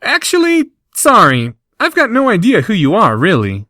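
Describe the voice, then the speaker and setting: A young schoolboy pours out flowery, exaggerated flattery in a voice pitched far up, as a man puts him on. The voice swings widely and sounds close and dry, with no room around it. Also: moderate pace, dry recording, heavily acted. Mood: earnest. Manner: over-the-top flattery in a high voice. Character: young boy, mock-groveling, theatrically flowery.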